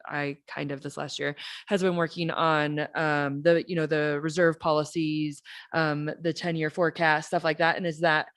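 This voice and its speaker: Lowish-voiced woman, city staff, chatty at a council meeting. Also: level tone, fairly bright tone. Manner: quick, chatty, laughs easily. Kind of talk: casual meeting talk. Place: council chamber.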